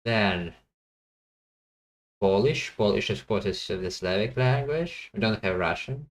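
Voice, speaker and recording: A man streaming a language-guessing game, thinking aloud in a halting way with many fillers and self-corrections, and chatting to viewers between guesses. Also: on the low side.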